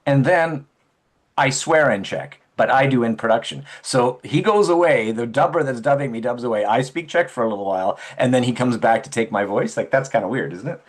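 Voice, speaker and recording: Brief: male actor guest, animated and rambling, laughs a lot, video call audio. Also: medium pitch, fairly quick. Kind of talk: casual interview chat.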